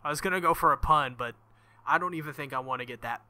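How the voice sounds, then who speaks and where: A man's voice: fairly high pitch, fast talker, bright, forceful tone. A man reading a visual novel aloud as a let's-play host, breaking into jokey, exclamatory asides.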